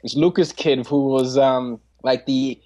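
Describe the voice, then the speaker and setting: A man in his twenties, a podcast guest, talking in an animated way, laughing often and restarting his sentences. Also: medium pitch, unhurried, highs cut off. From Nigeria. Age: 20s. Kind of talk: casual interview chat.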